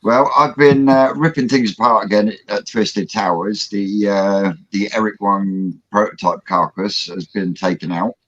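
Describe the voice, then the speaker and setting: A man's voice: fairly low pitch, steady tone, a little slow, hardly any pauses, a bit bright, no room sound. A man hosting a casual livestream, explaining technical projects at length with many fillers and restarts, over a video call. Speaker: host. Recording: dull lo-fi audio.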